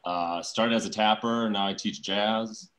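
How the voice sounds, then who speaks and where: A man's voice: on the low side, fairly even intonation, a little slow, fairly bright tone. A man from Minnesota, a dance teacher, introducing himself over a video call with dry jokes. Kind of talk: casual chat.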